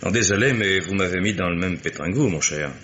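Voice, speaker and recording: A blunt private detective in a radio mystery. His voice sits low and has a hard, sharp edge. He presses his points with sardonic exasperation, over a faintly hissy recording. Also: a little fast, lo-fi sound. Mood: grave, resigned. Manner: argumentative, sardonic, insistent. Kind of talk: French radio mystery play acting.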